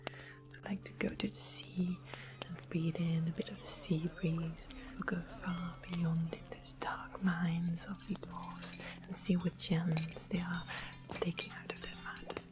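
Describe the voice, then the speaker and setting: A woman playing a sweetly genteel witch in a fantasy ASMR roleplay, speaking in a soft, breathy, unhurried whisper as she explains things. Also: lowish voice, level tone, music in the background, close to an everyday voice. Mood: wistful, genteel. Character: witch of the west, sweetly genteel potion seller.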